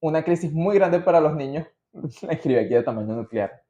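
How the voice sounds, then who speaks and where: Higher-voiced Venezuelan man, muffled roomy sound. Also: animated, a little fast, warm tone. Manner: informal, slangy, digressive. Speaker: podcast host. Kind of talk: casual podcast storytelling from notes. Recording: muffled, roomy podcast recording.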